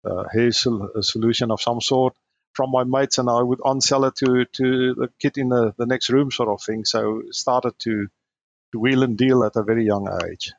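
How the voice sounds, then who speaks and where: A man with a southern African accent, speaking as a podcast guest in a measured, polite way with small hesitations. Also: mid-range voice, fairly even intonation, muffled recording. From Namibia. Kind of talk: casual podcast interview.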